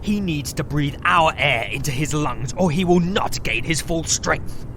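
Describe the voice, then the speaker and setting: A man's voice: expressive, a little slow. An actor playing a commanding, contemptuous male leader of invaders in an audio drama. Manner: commanding, imperious. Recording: noisy background rumble.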